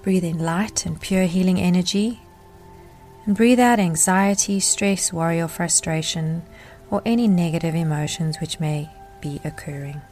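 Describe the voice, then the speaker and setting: Woman doing a guided meditation, lowish voice, gentle n measured, soft music and rain behind her. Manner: measured, gentle, deliberate phrasing with pauses. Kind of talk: guided meditation narration, instructional.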